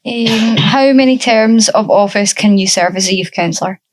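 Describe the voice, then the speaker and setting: A woman interviewer reading out her questions one by one, with a mid-range pitch. She thanks each guest politely after every reply. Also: moderate pace, rough recording. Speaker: podcast host.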